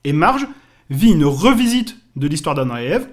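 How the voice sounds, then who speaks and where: A man with a somewhat high voice co-hosts a casual podcast. He runs through references at a brisk clip, with short agreeing replies in between. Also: big pitch swings. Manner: brisk, says "voilà" often. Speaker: podcast co-host. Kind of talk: casual chat listing references.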